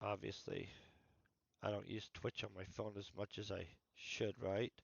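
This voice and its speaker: A man's voice: on the low side, little pitch movement, unhurried, vocal fry, breathy edge, no room sound, dull audio. A man talking casually on a livestream about writing his book, thinking aloud and rambling, his voice congested. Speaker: author streaming his writing session.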